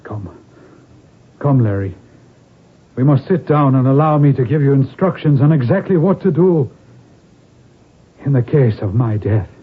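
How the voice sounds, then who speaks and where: A man's voice: medium pitch, expressive, measured pace, long pauses, breathy edge, phone-quality sound. A haunted father, the last of his line. His delivery is grave, fatalistic and stagey, in a mid-range voice. It sounds like a phone-thin vintage radio play. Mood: grave, resigned. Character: doomed, fearful Irish gentleman father, last of his line. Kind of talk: 1970s radio drama acting. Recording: band-limited old radio recording.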